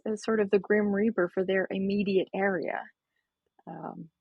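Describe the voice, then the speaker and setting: A woman guest on a casual podcast interview, speaking with a hoarse, froggy voice and a gravelly growl, explaining at length and often restarting phrases. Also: on the low side, dry recording. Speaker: author guest.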